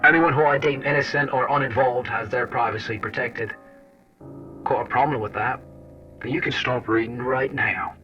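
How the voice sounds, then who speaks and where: A man's voice: medium pitch, steady tone, normal speed, sharp tone, lightly acted. Male actor, wry secret agent, foul-mouthed, conversational audio drama narration, distant muffled sound, music behind. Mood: brusque, confiding. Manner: sardonic, conversational, addresses the listener directly. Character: wry, foul-mouthed intelligence agent recounting a confidential journal. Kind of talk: first-person audio drama narration and dialogue. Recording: dull lo-fi old-radio sound under music.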